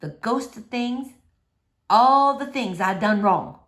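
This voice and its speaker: A wise old woman of a children's storybook, put on by a woman reading aloud. She speaks in folksy, plain words, kindly but firm. Her pitch moves around a great deal. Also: lively intonation, slow pace. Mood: rueful. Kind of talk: children's novel read aloud.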